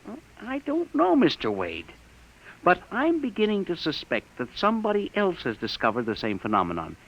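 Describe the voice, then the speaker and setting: An actor playing an eager, anxious, deferential junior investigator with a hesitant, earnest manner in a 1950s radio drama. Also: male voice, sits high, huge pitch swings, moderate pace, exaggerated voice. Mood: earnest, excited. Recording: muffled old radio recording.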